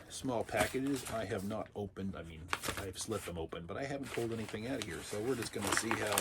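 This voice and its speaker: A man with a low, gravelly voice, thick with congestion because he is under the weather. He rambles casually through an unboxing and drops dry little asides. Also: very noisy. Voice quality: raspy. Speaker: vlog host.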